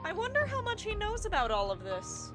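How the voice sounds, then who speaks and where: An excitable, eccentric scientist who bubbles over with chatter, as a woman acts her out. The voice sits high and jumps around eagerly. A music score plays underneath. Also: a little fast, full character voice. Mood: playful. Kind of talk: fan audio drama acting.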